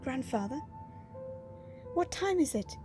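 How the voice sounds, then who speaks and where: Innocent granddaughter played by a woman, high-pitched, worried, audio drama with music behind. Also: animated, slow. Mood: anxious, puzzled. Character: anxious, innocent young granddaughter.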